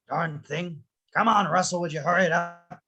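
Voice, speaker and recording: An actor playing a grumpy, curt, impatient old widower in an animated film script read-through. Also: medium pitch, measured pace. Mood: exasperated. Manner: curt, exasperated.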